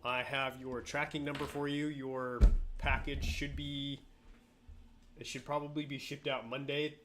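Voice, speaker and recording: A man hosting a casual live stream while sick, a bit nasal with frequent throat clearing, greeting viewers by name in a relaxed way. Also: medium pitch, measured pace, noisy background.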